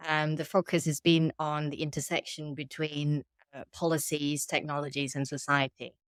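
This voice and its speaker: A woman from Thailand, a researcher answering interview questions in a measured, thoughtful way. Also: deep voice, level tone, no room sound, studio quality. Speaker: researcher guest.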